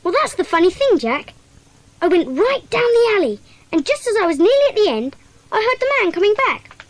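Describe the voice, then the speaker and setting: A woman's voice: very high voice, very animated, moderate pace. A woman playing an eager, earnest schoolboy sleuth who gives detailed reports in a children's audio drama. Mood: earnest, puzzled.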